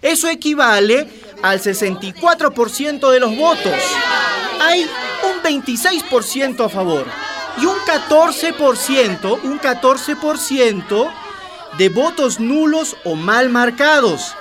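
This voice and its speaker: A visiting activist addressing villagers in a radio drama. The voice is high and declamatory, pushing out each announcement over a noisy crowd. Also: male voice, big pitch swings, unhurried, exaggerated voice. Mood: commanding. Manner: emphatic, declamatory. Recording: loud crowd behind.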